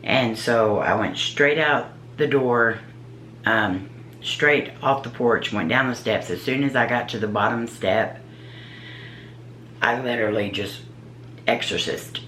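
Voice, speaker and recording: A woman with a deep, low voice, a paranormal investigator telling her own story in a casual, earnest, halting way. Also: fairly bright tone, slight background noise. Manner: earnest, halting, searching for words. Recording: roomy echo.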